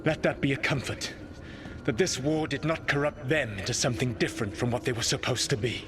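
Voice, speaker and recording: An actor playing a solemn, resolute ancient elven war commander in a fantasy video game, speaking in formal, grave oratory. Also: male voice, medium pitch, a little fast, natural voice.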